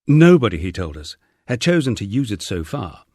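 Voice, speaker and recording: A man's voice: fairly low pitch, big pitch swings, moderate pace, darkish tone, no room sound. A British man narrating a humorous memoir in a wry, dry storytelling manner. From United Kingdom. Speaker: audiobook narrator.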